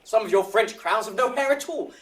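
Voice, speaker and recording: A man's voice: sits high, animated, a little fast, a bit bright. Male actor as earnest troupe leader, precise instructing manner, theatrical Shakespearean delivery, slightly distant recording. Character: earnest organiser of an amateur acting troupe. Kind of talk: theatrical Shakespearean audio drama acting.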